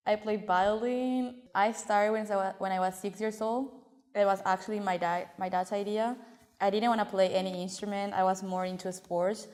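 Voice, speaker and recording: A woman's voice: medium pitch, fairly even intonation, moderate pace, a little mellow. An eighteen-year-old woman from Paraguay with a Spanish-speaking accent, casually telling her story in an interview. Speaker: interviewee, violinist. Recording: echoey hall reverb.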